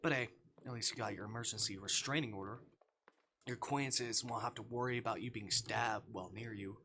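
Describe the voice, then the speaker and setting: A man's voice: lowish voice, steady tone, brisk, bright, forceful tone. A man live streaming, expressively reading a visual novel aloud with casual asides and stumbles as he skims.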